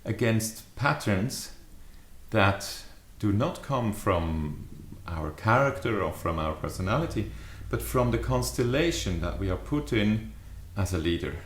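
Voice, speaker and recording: A man in his fifties with a lowish voice talks reflectively to camera, like an adviser explaining a practice. His phrasing is measured and deliberate. The sound carries clear echo from a furnished room indoors. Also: lively intonation, slight background noise. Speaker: leadership adviser. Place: small furnished study or living room, indoors. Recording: echoey living room.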